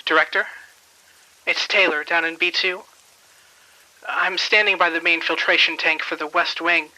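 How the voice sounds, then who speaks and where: A man's voice: on the high side, little pitch movement, normal speed, sharp tone, poor audio. An actor playing a nervous hospital maintenance worker in an audio drama, uneasy and hesitant as he reports in. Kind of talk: radio drama acting.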